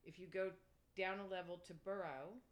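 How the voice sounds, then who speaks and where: A woman's voice: lowish voice, steady tone, normal speed, dull audio. An older woman from Pennsylvania, a township supervisor, chatting casually in an interview and explaining local government plainly.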